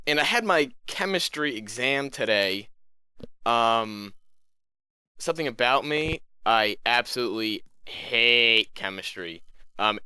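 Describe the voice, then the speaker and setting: A young man narrates personal stories as a casual gaming host, speaking in a bright voice recorded dry and close. He is under the weather with his voice starting to go, yet he keeps up slangy, exasperated storytelling. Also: medium pitch, clean tone, no echo. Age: young adult. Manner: slangy, animated griping with acted-out quotes. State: sick, losing voice, coughing.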